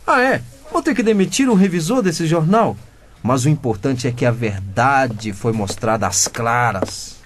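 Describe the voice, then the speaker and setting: A man's voice: medium pitch, expressive, measured pace, a little hiss or hum, lightly acted. A man playing a cheeky, rebellious 15-year-old son in a comedic radio drama, talking back in mock-pompous teenage slang. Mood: smug, defiant.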